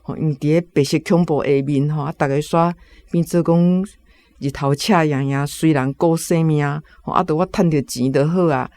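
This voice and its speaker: 一位男性評論者以台語口音閒談文學背景，句尾常帶「吼」。. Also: male voice, fairly high pitch, normal speed, dry recording. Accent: Taiwanese Hokkien. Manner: frequent 吼 tags, relaxed. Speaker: literary commentator. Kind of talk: casual commentary.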